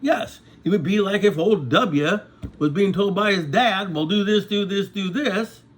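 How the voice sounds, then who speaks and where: A man's voice: high voice, measured pace. A man in his sixties talking to his viewers in a rambling casual monologue, often stopping to ask "okay?". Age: 60s. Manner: conversational, repeats "okay?" to check in with viewers. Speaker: vlogger.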